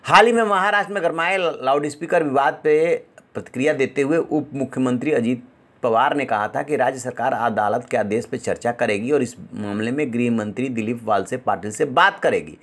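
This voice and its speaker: A man's voice: mid-range voice, brisk. Man presenting a news report.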